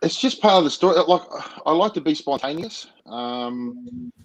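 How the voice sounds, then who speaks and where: Loud Australian man, projecting voice. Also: fairly high pitch, expressive, moderate pace, a little hiss or hum, low-quality recording. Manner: enthusiastic, spontaneous. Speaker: guest, motovlogger. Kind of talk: casual interview chat.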